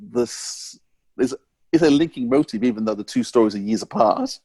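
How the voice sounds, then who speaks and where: A man interviews a guest in an earnest, chatty way. His voice is medium in pitch and recorded dry, and he often restarts as he searches for words. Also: animated, moderate pace, darkish tone, husky, dry recording. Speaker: podcast host.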